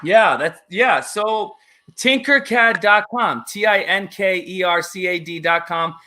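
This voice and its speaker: A man with a high, bright, forceful voice talks casually as an interview guest. He is an excitable enthusiast who rambles along and leans hard on his words. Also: unhurried. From United States, child of Italian immigrants. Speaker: interview guest, maker magician.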